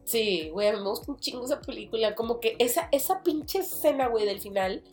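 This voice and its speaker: A woman's voice: fairly high pitch, animated, a little fast, darkish tone, soft background music. Slangy mexican woman ranting casually. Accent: Mexican Spanish. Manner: slangy, swears freely, ranting and laughing. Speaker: podcast co-host. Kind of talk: casual chat.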